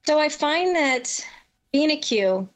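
A woman's voice: high-pitched, animated, slow. A middle-aged woman answering questions as a guest in a conversational interview. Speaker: podcast guest, veteran emergency dispatch professional.

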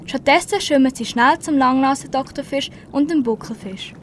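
A woman's voice: high-pitched, normal speed, slight background noise. A teenage girl with a Swiss German accent reading scripted narration for an amateur school radio play.

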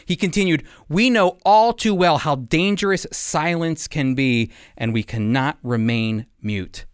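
An American man hosting a radio Bible program, giving scripted teaching and commentary in an earnest, deliberate way with emphasis on key phrases. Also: on the high side, smooth, dry recording, clean studio sound.

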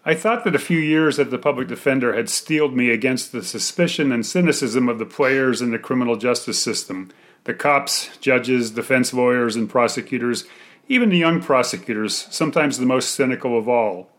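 A man reading his own first-person short story aloud in a measured, articulate way. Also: mid-range voice, fairly bright tone.

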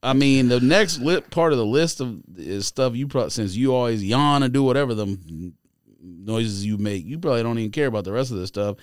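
A man's voice: mid-range voice, lively intonation, a little fast, clean tone, dry recording, studio quality. A man co-hosting a podcast in casual chat, blunt and teasing in the way he talks. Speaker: podcast co-host.

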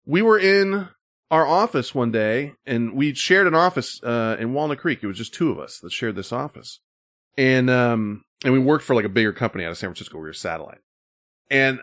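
A middle-aged man talks casually and loosely, full of "like" and "you know". His voice sits in a plain middle range. He sounds relaxed among friends. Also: medium pitch. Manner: rambling storyteller with filler words. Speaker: podcast co-host. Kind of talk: casual chat.

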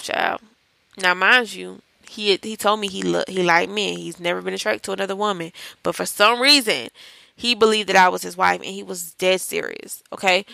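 A woman's voice: mid-range voice, vocal fry, pushed and bright, no room sound. A woman hosting a faith-based podcast, chatting in a casual, encouraging way and calling her listeners y'all. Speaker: podcast host.

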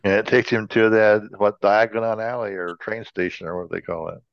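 Low-voiced jokey man, dull sound. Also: fairly even intonation, moderate pace, no echo. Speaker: podcast co-host. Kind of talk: casual banter.

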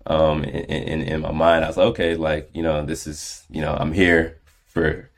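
A man's voice: very deep voice, level tone, normal speed, vocal fry. A man in his twenties with an American accent, a podcast guest talking casually with many fillers and restarts. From born in England, raised in the United States. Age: 20s. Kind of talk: casual conversation.

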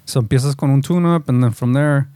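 A man in easy, cussing podcast talk, swapping between Spanish and English as he explains things. His voice sits at an average pitch. The sound is close and dry, with no room around it. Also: quick pace, mellow, no echo. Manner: casual, profane, mixes Spanish and English. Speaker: podcast co-host. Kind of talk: casual chat.

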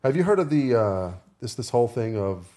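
A man chatting casually as a podcast guest, haltingly repeating words as he goes. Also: medium pitch, very animated, dark tone.

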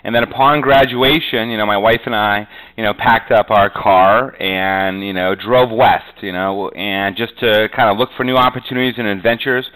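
A middle-aged man from New England with a somewhat low voice. He speaks as a podcast guest, telling his story conversationally, in a rambling way full of verbal padding. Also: steady tone, moderate pace, hardly any pauses, a bit bright, clean tone, low-quality recording. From New England, USA. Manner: rambling, often says "you know". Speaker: podcast guest, real estate agent.

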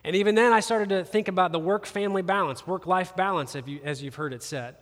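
Lively joking pastor in a big hall. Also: male voice, sits high, quick pace. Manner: animated, joking, conversational. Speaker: campus pastor. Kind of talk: sermon. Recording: long reverb of a large hall.

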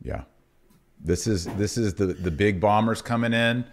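A man's voice: lowish voice, a little slow, a little hiss or hum. A man hosting a religious podcast in a casual, informal and colloquial chatting style. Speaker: podcast host.

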